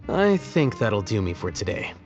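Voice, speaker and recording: Aloof game scholar, low pitch, deadpan, intellectual, dry, faint music behind. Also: male voice, moderate pace, lo-fi sound. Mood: detached, dry. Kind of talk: video game voice acting.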